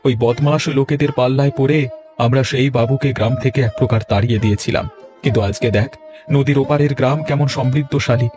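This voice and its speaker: A man's voice: mid-range voice, steady tone, fast, over music, close to an everyday voice. Lamenting poor village father voiced by a man. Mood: regretful. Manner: lamenting, self-pitying. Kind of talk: Bengali cartoon story dubbing.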